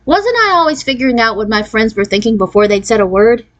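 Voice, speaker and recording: A woman's voice: a little high, sing-song, fast talker, muffled recording. A woman reading a children's story aloud as scripted narration, shifting into character voices for the dialogue. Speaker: audiobook-style reader.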